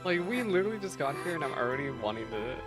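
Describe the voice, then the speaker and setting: Very low-voiced woman with lively, skeptical chatter. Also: fast, over loud music. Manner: slangy, skeptical. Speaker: gaming streamer reacting to a story quest. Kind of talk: casual reaction commentary.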